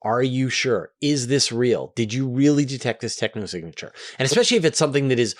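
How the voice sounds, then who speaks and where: A man hosting a question-and-answer show, explaining things conversationally in an animated way with rhetorical questions. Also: medium pitch, quick pace. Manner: animated, rhetorical questions, acts out imagined quotes. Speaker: host of a space Q&A show.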